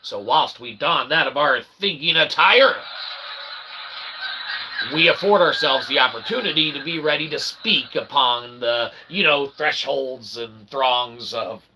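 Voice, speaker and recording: A man's voice: medium pitch, unhurried, noisy background. A man hosting a comedy livestream in a wordy, theatrical, mock-pompous monologue. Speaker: host of a philosophy comedy livestream.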